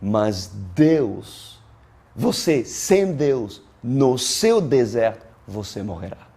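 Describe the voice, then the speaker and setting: Slow, mellow male preacher. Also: mid-range voice, sing-song, slightly rough. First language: not Portuguese; learned Portuguese as an adult. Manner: deliberate, emphatic, with dramatic pauses and repeated phrases. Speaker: pastor preaching. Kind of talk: sermon.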